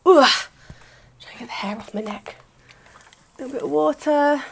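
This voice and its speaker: A woman, chatting casually through a hair-care vlog, a little croaky, rambling with lots of "sort of". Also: high-pitched, normal speed. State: slightly croaky voice. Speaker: hair vlogger.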